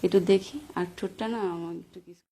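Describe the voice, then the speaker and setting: A woman's voice: on the low side, steady tone, fast talker, mellow. A woman with a Bangladeshi accent chatting flirtatiously in a coy, teasing way on a recorded phone call. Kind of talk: flirtatious casual phone chat.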